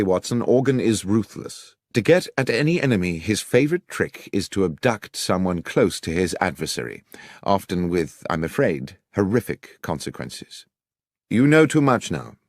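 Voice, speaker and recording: A man's voice: on the low side, no room sound, clean studio sound. A man voicing a brilliant, commanding detective in an audiobook, with a British accent and precise, formal, authoritative manner. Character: brilliant, commanding male detective, brisk and grave.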